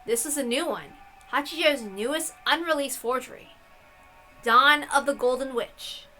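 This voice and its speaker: A woman's voice: high-pitched, normal speed, bright, forceful tone, faint music behind, low-quality recording. A woman reading a visual novel aloud as dramatic story narration. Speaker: story reader.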